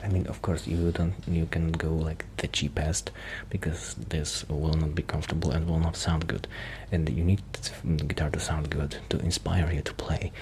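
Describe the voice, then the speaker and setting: Man, low pitch, soft and breathy, near whisper, hesitant ASMR rambling, noticeable background noise. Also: flat delivery, normal speed, few pauses. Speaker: ASMR roleplay performer.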